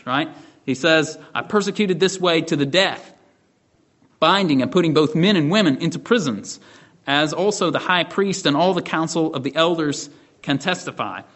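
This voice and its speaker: Casual Bible teacher, fairly high voice. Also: male voice, a bit bright. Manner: conversational explaining, frequent "right?" and restarts. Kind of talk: Bible study lesson.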